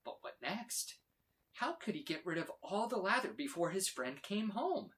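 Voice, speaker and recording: A man reading a children's story aloud with expressive, dramatic emphasis. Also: sits high, moderate pace. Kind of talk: animated read-aloud narration for children.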